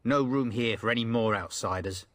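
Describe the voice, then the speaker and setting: A man voicing a gruff, unwelcoming village man in a fantasy video game, curt and sardonic. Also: medium pitch, moderate pace, fairly bright tone, natural voice. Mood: wary, grudging.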